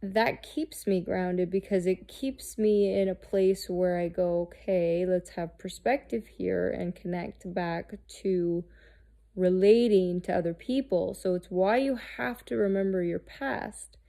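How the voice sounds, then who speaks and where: A woman in her thirties, talking candidly and haltingly about herself, restarting often and swearing freely. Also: lowish voice, fairly even intonation, gravelly, warm tone. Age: 30s. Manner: halting, searching, with many restarts and fillers. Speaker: host. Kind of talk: candid personal monologue.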